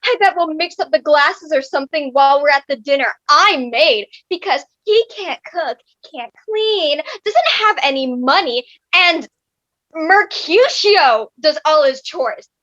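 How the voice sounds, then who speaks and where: Very high-voiced grumbling Juliet played by a young woman. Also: lively intonation, unhurried, bright, forceful tone, no echo, muffled recording, heavily acted. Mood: frustrated. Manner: complaining, theatrical. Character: frustrated young Juliet, grumbling amateur poisoner. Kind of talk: comedic stage play reading.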